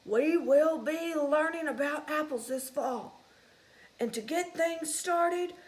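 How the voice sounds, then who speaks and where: A woman voicing a male mouse school principal in a storybook, announcing slowly and emphatically. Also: sits high, pitched above the performer's own voice, poor audio. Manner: slow, emphatic, projected announcing.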